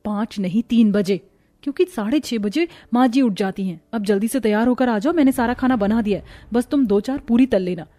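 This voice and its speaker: A woman plays a protective elder sister-in-law in a cartoon household drama. She has a fairly high voice and an instructive, responsible way of speaking. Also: brisk, natural voice. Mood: firm. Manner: gently instructing. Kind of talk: Hindi cartoon family story voice acting.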